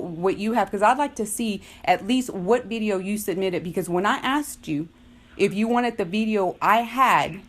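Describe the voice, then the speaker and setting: A middle-aged woman on a phone call, firm and insistent, repeating herself as she argues her case as a parent. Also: mid-range voice. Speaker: parent making a complaint. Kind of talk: tense phone conversation, disputing.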